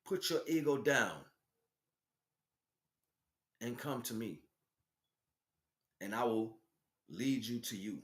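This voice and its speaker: American man, lively swinging intonation, streetwise slang, emphatic repetitive spiritual monologue, echoey room. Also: medium pitch, slow pace, pauses often. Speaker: spiritual teacher and stream host.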